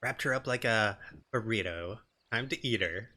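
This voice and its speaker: A man's voice: fairly low pitch, normal speed, sharp tone. A man giving casual, informal commentary as he streams a video game. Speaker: let's play streamer.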